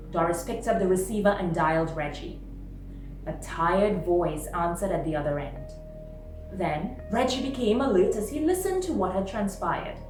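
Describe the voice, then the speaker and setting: A woman reading a short story aloud in a dramatic, expressive narrating style. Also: fairly low pitch. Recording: roomy, distant mic, music behind. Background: music.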